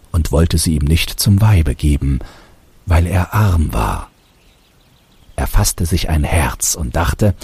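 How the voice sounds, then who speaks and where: A man reading a fairy tale aloud as an expressive storybook narrator. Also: a bass voice, gravelly, slightly rough.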